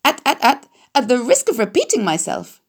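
A woman voicing a chattering female goose who talks fast and repeats her words. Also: fairly high pitch, wildly swooping pitch, voice pushed up.

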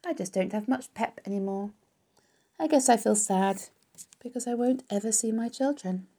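A tired, motherly female storybook spider, voiced by a woman reader. The voice is soft, mellow and slightly breathy, with gentle, measured, dignified phrasing. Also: lowish voice. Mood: downhearted. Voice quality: weak, soft.

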